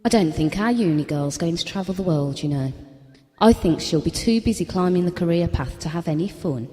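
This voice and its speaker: A woman's voice: on the low side, expressive, a little fast, mellow, lightly acted. An actress playing a guarded, teasing woman in an audio play, wry and probing. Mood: wry, thoughtful. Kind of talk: short audio play dialogue. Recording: long hall-like reverb.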